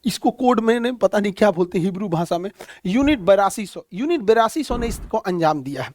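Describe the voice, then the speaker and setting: A man lecturing on current affairs in a blunt, folksy, animated way, full of homely comparisons. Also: high voice, a little fast, a little hiss or hum. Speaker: teacher.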